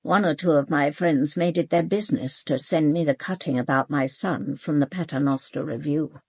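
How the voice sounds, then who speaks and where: A woman's voice: low voice, moderate pace, slightly rough, no echo. An actress playing a proud, elderly upper-class British mother in a radio drama, speaking formally and precisely with controlled, cool dismissiveness. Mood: haughty, controlled. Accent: upper-class British English. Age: older. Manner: formal, clipped, haughty, precise.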